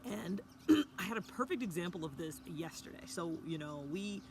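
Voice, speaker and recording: A woman talking casually to camera in a hushed early-morning voice, recording outdoors by a fire pit with a mountain view. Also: lowish voice, expressive, moderate pace. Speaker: vlogger. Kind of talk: casual reflective talk to camera. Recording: outdoor ambience with background noise.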